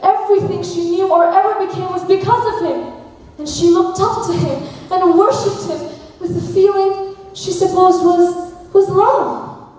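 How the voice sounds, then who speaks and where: Far from the mic in a very echoey hall, an actress plays a lovelorn young woman on stage. The pitch is extremely high, the delivery earnest and guarded. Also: unhurried, dark tone. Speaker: student actor in a school play. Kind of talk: stage drama acting. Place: large hall at a school stage event.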